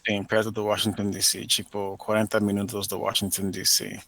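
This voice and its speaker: Casual: american guy, english native, low voice, pretty flat delivery, stops a lot with little "ah"s, dry close sound. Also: dry recording. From United States (Maryland). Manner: careful learner's speech with frequent "ah" pauses. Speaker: interview guest, Portuguese learner. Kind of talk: podcast interview.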